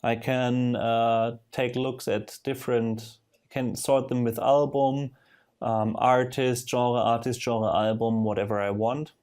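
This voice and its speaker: A man narrating a casual software screen demo in a halting way, thinking aloud as he goes. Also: medium pitch, level tone, clean tone. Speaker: software demonstrator. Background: music from audio players being demonstrated.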